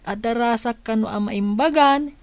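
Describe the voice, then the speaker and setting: A woman's voice: fairly high pitch, normal speed, clear, resonant tone, dry recording, lightly acted. A woman playing a sweet, earnest little boy with an Ilocano accent in a radio drama. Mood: earnest, cheerful. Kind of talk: Filipino radio drama acting.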